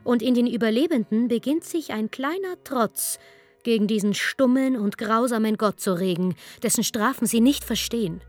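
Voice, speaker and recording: A woman reading scripted dramatic storytelling narration in a measured way with emphatic pauses. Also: fairly high pitch, darkish tone. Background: music.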